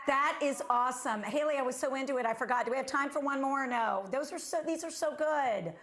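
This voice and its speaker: A woman's voice: high-pitched, brisk, fairly bright tone, a little hiss or hum. A woman anchor co-hosting in casual chat, with an effusive, exclamatory manner. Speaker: TV anchor and co-host.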